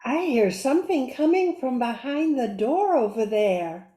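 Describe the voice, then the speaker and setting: Casting: polite, starstruck assistant played by a woman, gentle mellow tone, tentative and unhurried, roomy sound, radio drama. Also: high voice, animated. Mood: nervous. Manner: tentative, hesitant.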